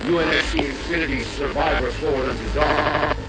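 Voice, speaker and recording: A man's voice: a little high, brisk, natural voice, poor audio. A man playing a disciplined military starship officer in a video game, clipped and commanding. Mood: urgent hailing. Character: male starship officer, brisk, disciplined military radio operator. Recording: loud game music and vehicle noise behind.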